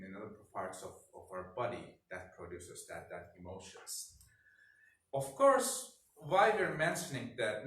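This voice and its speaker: A man with a non-native English accent giving a conference presentation as a researcher, in a halting manner. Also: lowish voice, a bit bright. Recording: echoey room, distant mic, noisy.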